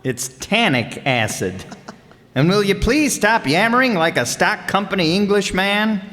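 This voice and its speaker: Man acting a high-voiced sardonic doctor. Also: animated, measured pace, a bit bright. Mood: sardonic, irritated. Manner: sardonic, declamatory. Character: cranky, sardonic doctor needling his friend. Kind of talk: old-time radio comedy acting. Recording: very reverberant hall.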